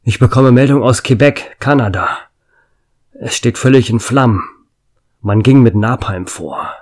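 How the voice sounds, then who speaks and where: A man's voice: mid-range voice, unhurried, lightly acted. A man playing a doomed small-town radio host. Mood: urgent. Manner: urgent broadcast announcing, turning quiet and resigned. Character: male small-town radio host Gary, facing doom. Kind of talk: German fan radio drama acting.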